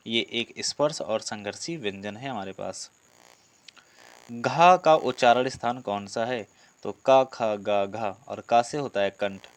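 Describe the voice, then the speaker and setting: A man's voice: lowish voice, no echo. A man teaching an exam-prep class, reading out questions and explaining answers in an instructional, repetitive way, addressing students directly. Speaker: Hindi grammar teacher for police exam students.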